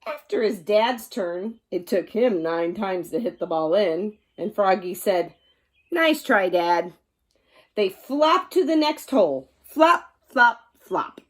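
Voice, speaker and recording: A woman's voice: medium pitch, animated, takes it slowly, few pauses. A woman storyteller reading a children's picture book aloud expressively, acting out the sound words with drama.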